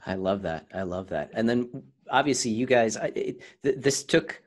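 A man hosting a casual podcast interview, feeling his way haltingly into his questions. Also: mid-range voice, lively intonation. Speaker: podcast host.

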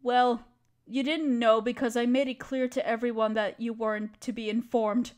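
High-voiced, motherly spider queen played by a woman. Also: level tone, normal speed, a little mellow, lightly acted. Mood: grave, regal. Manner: tender, pleading, careful phrasing. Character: gentle, anxious, motherly giant spider queen. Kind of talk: scripted audio roleplay addressed to listener.

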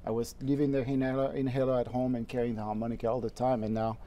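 A man with a French accent talks in an easygoing way, often laughing, as a studio guest. His voice is mellow and a little dark, and it sounds close to the lapel mic on a TV set. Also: medium pitch, flat delivery, fast talker, highs cut off. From France. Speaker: guest musician. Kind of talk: casual interview chat.

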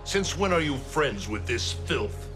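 Stern, angry thunder god; mid-pitched, commanding, loud music bed. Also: male voice, big pitch swings, unhurried. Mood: accusing. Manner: commanding, accusing. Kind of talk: video game cutscene acting. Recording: music score underneath.

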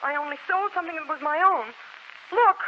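A woman's voice: high-pitched, fairly quick, very noisy, lightly acted. An actress playing a spirited, frank tomboyish teenage girl, blunt and eager, in a 1940s American radio drama. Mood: proud, defiant. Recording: muffled 1940s radio recording with music.

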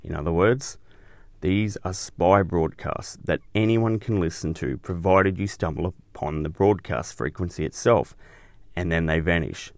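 A man narrates a scripted explainer as the host of a show. His voice sits low, and the recording is dry with hardly any room sound. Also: dry recording.

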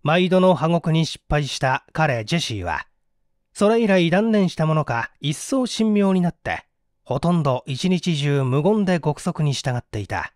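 A male audiobook reader delivers mystery narration with theatrical suspense. His voice sits fairly high and moves widely in pitch. The sound is dry and close. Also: lively intonation, unhurried, darkish tone, no echo. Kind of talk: dramatic scripted narration of a mystery story.

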